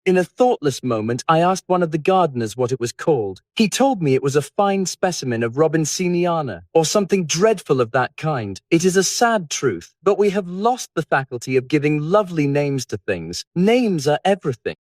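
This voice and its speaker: A man's voice: a little high, sing-song, normal speed, few pauses, darkish tone, dry recording, studio quality, pitched above the performer's own voice. A man voicing a witty, languid English aristocrat in an audiobook, teasing and aphoristic in a theatrical way. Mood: amused, teasing. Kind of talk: audiobook character dialogue.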